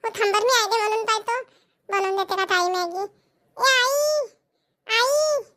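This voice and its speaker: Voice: extremely high pitch, unhurried, pushed and bright, full character voice. A performer playing a pampered, pleading little village girl in a cartoon comedy, with a Vidarbha Marathi accent. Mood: coaxing. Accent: Maharashtrian, Vidarbha Marathi. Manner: childlike, pleading. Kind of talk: cartoon family comedy dialogue.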